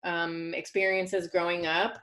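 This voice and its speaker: A woman's voice: on the low side, flat delivery, measured pace, a bit bright. A woman hosting an interview on a call, affirming her guest and asking open questions. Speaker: podcast host. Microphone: remote call.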